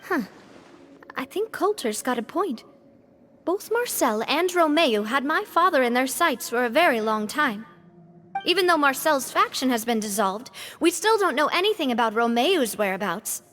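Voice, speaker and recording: A high-voiced young guild boss. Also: female voice, big pitch swings, normal speed, a bit bright, soft background music. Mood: earnest. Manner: thoughtful, earnest, firm. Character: young woman boss of a guild, warm-hearted, resolute.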